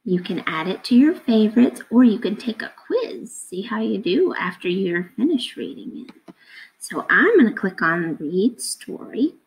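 Thirties woman, teacher reading to children, unhurried, creaky mid-pitched voice. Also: big pitch swings, slight background noise, low-quality recording. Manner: explains simply, asking questions of young listeners. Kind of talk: casual read-aloud lesson for children. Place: indoors at a computer, webcam screen recording.

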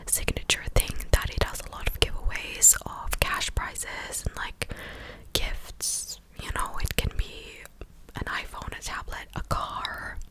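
A young woman speaking in a breathy ASMR whisper close to the microphone, chatting casually and hesitantly as she recaps news. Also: unhurried, rough recording. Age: young adult. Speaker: ASMR content creator. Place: small living room, indoors. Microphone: close desktop condenser microphone.

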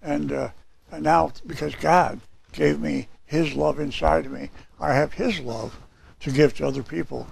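A man in his sixties with a hoarse, raspy voice, preaching a sermon and reading scripture in a small church hall. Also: a little high, steady tone, unhurried, slight background noise. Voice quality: raspy, gravelly. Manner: gesturing, reading scripture aloud from papers. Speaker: pastor.

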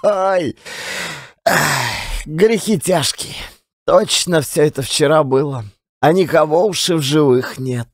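A man's voice: on the high side, slow pace, pauses often, dry recording, studio quality. A man performing a dramatized audiobook as a folksy Russian working man telling colloquial tales of his adventures. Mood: rueful. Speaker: audiobook narrator and actor. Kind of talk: one-man dramatized audiobook reading of classic fiction.

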